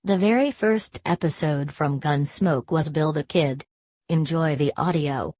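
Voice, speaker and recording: A woman with a low voice presents a scripted introduction as a program hostess. The sound is close and dry, without room echo. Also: a little slow, darkish tone. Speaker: hostess introducing old radio audio.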